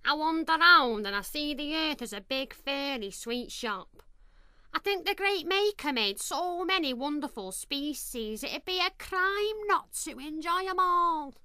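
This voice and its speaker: A woman playing a free-loving, flirtatious ladybird in a comedy radio play, with a dreamy, unhurried delivery. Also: high voice, animated, pushed and bright, no room sound, clean studio sound, heavily acted.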